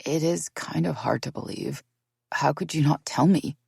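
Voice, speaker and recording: A woman's voice: low-pitched, moderate pace, vocal fry, darkish tone, breathy edge, dry recording, clean studio sound, exaggerated voice. Woman reading a torn, shocked friend.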